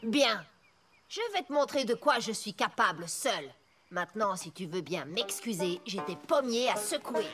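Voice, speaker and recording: A woman's voice: mid-range voice, lively intonation, moderate pace, lightly acted. A woman voicing a hardworking, stubborn, folksy young farm pony in a children's cartoon. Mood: stubborn, defiant. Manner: folksy, plain-spoken, headstrong. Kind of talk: French-dubbed children's cartoon acting. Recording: music bed behind the voice.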